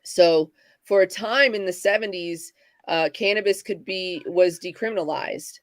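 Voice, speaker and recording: Halting woman co-hosting a livestream. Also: lowish voice, no room sound. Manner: halting, many fillers and restarts. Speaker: podcast co-host. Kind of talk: casual livestream discussion.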